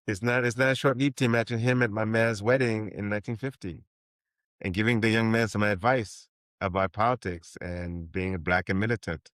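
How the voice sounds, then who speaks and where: Man, 50s, from Haiti, novelist guest; low, rambling delivery full of restarts, dry booth-like sound. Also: steady tone, normal speed, fairly bright tone, no room sound. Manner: rambling, many restarts and ums. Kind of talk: interview conversation.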